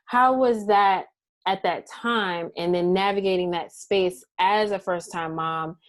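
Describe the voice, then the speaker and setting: A woman in her thirties hosting a podcast, asking questions in a relaxed, conversational way with frequent fillers. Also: mid-range voice, measured pace. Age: 30s. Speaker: podcast host. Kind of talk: casual interview chat.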